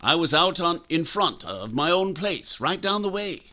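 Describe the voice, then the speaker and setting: An authoritative small-town doctor in a radio play, acted by a man. He is firm and protective, with a fairly high, animated voice over faint noise. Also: moderate pace. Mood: matter-of-fact. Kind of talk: old-time radio acting.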